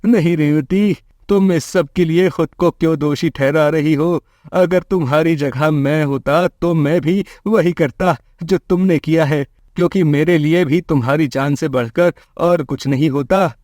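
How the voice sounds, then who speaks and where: A man's voice: fairly high pitch, unhurried, hardly any pauses, darkish tone, no echo, clean studio sound, lightly acted. Man acting a kind, troubled village husband. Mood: reassuring. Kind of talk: Hindi moral-story cartoon acting.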